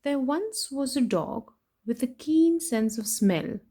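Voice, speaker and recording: A woman doing an expressive children's picture book read-aloud, playful in her emphasis, with chatty asides to her young listeners. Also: high-pitched, takes it slowly, slightly rough. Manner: animated, sing-song rhyme reading with playful emphasis. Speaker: children's story reader and host.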